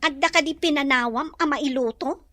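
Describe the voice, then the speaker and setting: Hurt young wife in a radio soap, super high voice, plaintive, pleading. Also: female voice, a little fast, bright, forceful tone, no echo, soft background music. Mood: reproachful, hurt. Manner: plaintive, reproachful. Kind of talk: radio drama acting.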